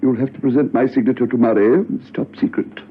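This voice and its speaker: An actor playing a suspicious, officious government boss who starts hesitantly in a radio drama. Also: male voice, medium pitch, steady tone, fast talker, a little hiss or hum, close to an everyday voice. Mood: grudging, officious. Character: fussy, suspicious male government department boss. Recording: muffled phone-like old radio recording.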